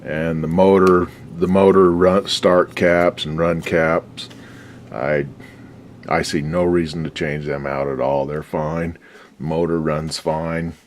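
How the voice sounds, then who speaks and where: Man, very deep voice, unhurried, rambling and profane, workbench commentary. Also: level tone, a little hiss or hum. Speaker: radio restorer. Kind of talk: casual workshop commentary.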